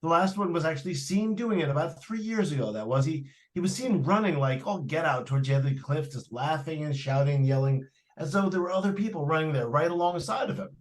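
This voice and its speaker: A fussy real estate agent, played by a man in a 1940s-style radio play. His voice is a little high. He hesitates as he begins, then lays out the facts earnestly and without fancy. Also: animated, fast, natural voice. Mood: earnest, dismissive. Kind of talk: 1940s live radio drama acting.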